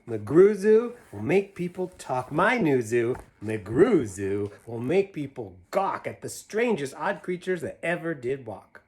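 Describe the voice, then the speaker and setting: A man voicing an eager young boy from a children's picture book, boasting excitedly in sing-song rhyme. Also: high-pitched, breathy edge, pitched above the performer's own voice, heavily acted. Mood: excited, boastful. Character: eager young boy dreaming up his own zoo.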